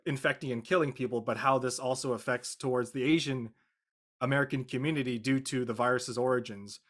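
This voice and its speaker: A man talking casually as a voice actor on a panel, in halting, self-correcting phrases. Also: medium pitch, a bit bright.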